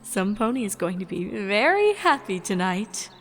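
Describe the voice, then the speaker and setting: A woman voicing a kindly, composed regal pony princess with a gentle, measured manner in a storybook reading. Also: medium pitch, big pitch swings. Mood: pleased.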